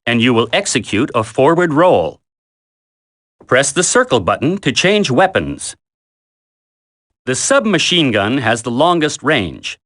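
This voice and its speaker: A man playing a confident military combat instructor in a video game tutorial, giving firm, encouraging, deliberate instructions. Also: mid-range voice, fairly bright tone.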